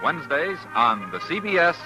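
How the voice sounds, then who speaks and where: A man's voice: a little high, sing-song, moderate pace, rough recording. A man with an American accent reading scripted announcements as an old-time radio announcer. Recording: vintage radio recording with music. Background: orchestral theme music.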